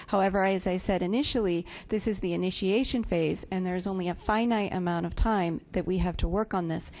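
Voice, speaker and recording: A woman on county staff giving a measured, procedural presentation at a public zoning hearing. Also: fairly low pitch, fairly even intonation, darkish tone.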